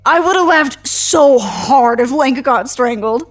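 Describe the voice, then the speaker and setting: High-pitched young agent, casual, awkward, stammering, chatty, audio drama. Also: female voice, expressive, measured pace. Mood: teasing, casual. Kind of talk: naturalistic audio drama acting.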